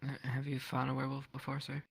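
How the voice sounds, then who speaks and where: A man's voice: mid-range voice, monotone, quick pace, a bit bright, heavily acted. A man playing a polite, hesitant townsman in an improvised fantasy roleplay, answering deferentially. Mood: thoughtful, wary. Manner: polite, hesitant, throat-clearing, short replies. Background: music.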